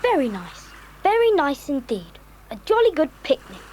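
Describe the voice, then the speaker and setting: An earnest boy leader in a children's audio drama. The voice is high and animated, proper and sensible, with faint noise behind it. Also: normal speed. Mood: thoughtful.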